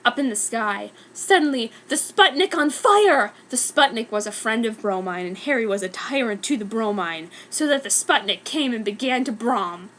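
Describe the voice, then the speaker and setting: A woman's voice: on the high side, expressive, moderate pace, few pauses, a bit bright. A woman giving a dramatic, mock-serious reading of a scripted story as narrator. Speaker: reader of a fan story.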